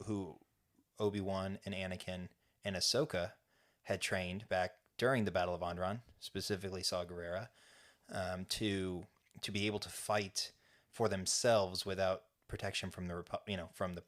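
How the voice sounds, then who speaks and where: A man's voice: low voice, fairly bright tone. A man co-hosting a fan podcast, recapping an episode in casual chat with lots of restarts and fillers. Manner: rambling, many restarts and fillers. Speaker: podcast co-host.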